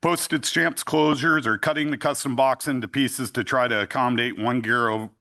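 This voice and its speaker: A man with a big, forceful voice reads his testimony before a council. He speaks deliberately from prepared remarks into a podium microphone in a meeting room. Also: medium pitch. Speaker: fishing association representative. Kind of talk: public testimony.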